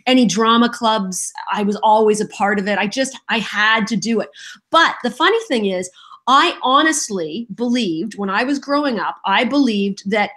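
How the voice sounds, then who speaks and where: Lively, self-mocking actress telling stories. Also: female voice, on the high side, normal speed. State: just getting over a cold. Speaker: voice actress guest. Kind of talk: casual interview chat.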